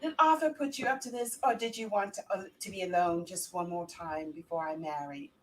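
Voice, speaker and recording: A woman's voice: fairly low pitch, fairly quick, slight background noise, pitched below the performer's own voice, natural voice, rough recording. A woman voicing a young Victorian bride-to-be in a melodramatic amateur radio play. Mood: coy.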